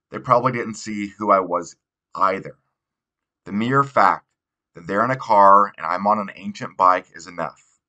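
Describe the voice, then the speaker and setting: Male audiobook narrator, slightly low pitch, bright forward tone, reading teen fiction. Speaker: audiobook reader. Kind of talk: read narration of a young adult novel.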